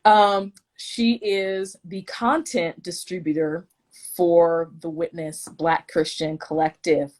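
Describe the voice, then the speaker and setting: A woman's voice: lowish voice, fairly even intonation, takes it slowly, darkish tone. A woman from the Midwest hosting a live stream in a chatty, self-deprecating way, often tripping over her words. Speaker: host, vice president of the collective.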